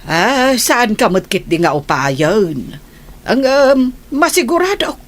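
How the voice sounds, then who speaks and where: A woman's voice: a little high, big pitch swings, slow, exaggerated voice. A woman with an Ilocano accent playing a concerned, questioning village mother in a comedy radio drama.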